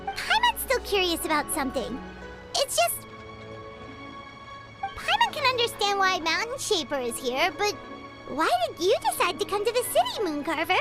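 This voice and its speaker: Squeaky excitable fairy sidekick; very high, lively swings, third-person chatter. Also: female voice, normal speed, pauses often, loud music behind the voice, heavily acted. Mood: curious. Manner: bubbly, excitable, talks about herself in third person. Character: tiny chirpy floating fairy companion, girl. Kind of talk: game voice acting.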